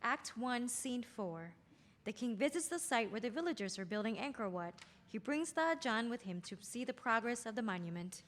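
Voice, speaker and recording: A woman reading stage directions aloud at a play's table read, in plain, even narration. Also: fairly high pitch, normal speed, big-room sound.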